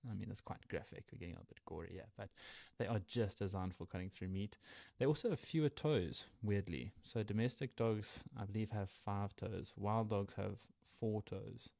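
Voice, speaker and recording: A young man with a South African accent, co-hosting a casual wildlife podcast with relaxed, rambling explanations and frequent laughs. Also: fairly low pitch, normal speed, vocal fry, dry recording. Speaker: co-host.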